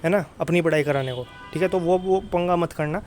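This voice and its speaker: A man's voice: fairly high pitch, a little fast, darkish tone, soft background music. A man giving informal exam coaching, often checking in with listeners in a familiar, brotherly way. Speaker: exam prep teacher. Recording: clear background noise.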